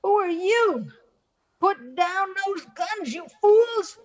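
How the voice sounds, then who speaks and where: A woman's voice: extremely high pitch, expressive, takes it slowly, dull audio, heavily acted. An older woman playing a plainspoken, squeamish but practical bayou hunter in a radio play. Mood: startled, defiant.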